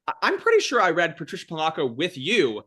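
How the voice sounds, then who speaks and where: A man's voice: fairly high pitch, huge pitch swings, fast talker, pushed and bright, breathy edge. A man hosting a casual conversational interview, speaking in an enthusiastic, affirming manner. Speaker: podcast host interviewing a former colleague; school teacher.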